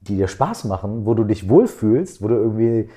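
A middle-aged man hosting a podcast interview, talking in a relaxed, slangy way and thinking out loud with lots of fillers. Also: lowish voice, moderate pace. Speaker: podcast host, rapper. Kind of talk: casual interview chat.

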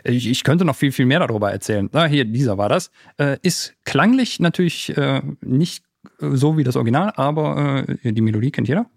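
A man's voice: mid-range voice, expressive, fairly quick, vocal fry. A man co-hosting a podcast, giving a casual, enthusiastic gear review with colloquial wording and English tech jargon mixed in. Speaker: podcast co-host presenting music gear.

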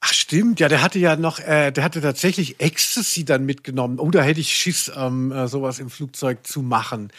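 A man's voice: mid-range voice, moderate pace, few pauses, a bit bright. A man hosting a podcast, chatting casually in a rambling, self-deprecating way. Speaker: podcast host. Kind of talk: casual conversational podcast chat.

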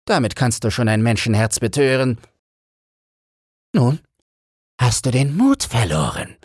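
A man's voice: medium pitch, very animated, breathy edge, no room sound, studio quality, voice pushed up. A man voicing a greedy, menacing female sea witch in a storybook reading, drawing out the words with relish. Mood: taunting. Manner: slow, drawn-out, relishing menace.

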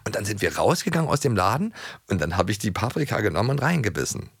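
Quick, low, creaky-voiced man chatting. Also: sing-song, dry recording, studio quality. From East Germany. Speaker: podcast co-host. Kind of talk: casual chat, telling childhood anecdotes.

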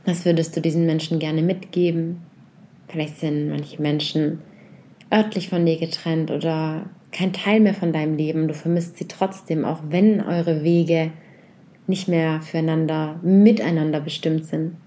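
A woman leading a guided reflection meditation, speaking softly and unhurriedly as she puts a series of gentle questions directly to the listener. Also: deep voice, fairly even intonation, measured pace, slight background noise.

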